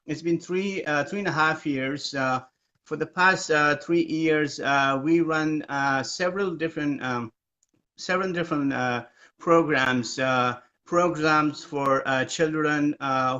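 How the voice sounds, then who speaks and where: A man speaks in a bright, fairly high voice, pausing often with filler sounds as he explains his charity work. The audio is dull and lo-fi, like a live stream. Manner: hesitant, many "uh" fillers, slow. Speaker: aid agency founder, live stream host. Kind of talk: casual live stream chat.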